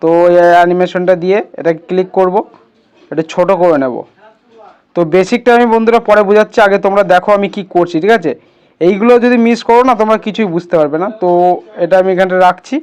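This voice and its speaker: চড়া গলার এক পুরুষ টিউটোরিয়াল হোস্ট. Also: male voice, high-pitched, fast talker, darkish tone, clear, resonant tone. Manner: instructional, addresses viewers as friends. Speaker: tutorial host. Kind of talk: casual step-by-step screen tutorial.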